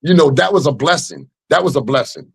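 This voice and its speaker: A man's voice: on the high side, lively intonation, brisk, vocal fry, husky. An American man, a rap artist being interviewed, speaking conversationally and earnestly, with frequent check-in phrases to the listener. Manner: earnest, punctuates with "you know what I'm saying". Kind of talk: conversational storytelling.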